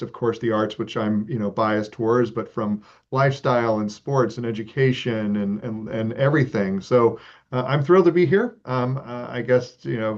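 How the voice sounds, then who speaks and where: A man from Texas, a guest leading an arts organization, answering interview questions in a relaxed, earnest way with many ums and uhs. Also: mid-range voice. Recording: slightly dull lo-fi audio.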